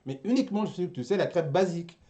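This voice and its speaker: A man's voice: sits high, fast, dark tone. A man hosting a casual chat show, teasing and jokey, often repeating his words as he goes. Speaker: show host.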